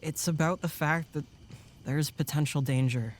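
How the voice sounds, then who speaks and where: A man playing an earnest, protective young male camp counselor in an audio drama, calm and considerate. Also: a little high, moderate pace, natural voice. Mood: concerned.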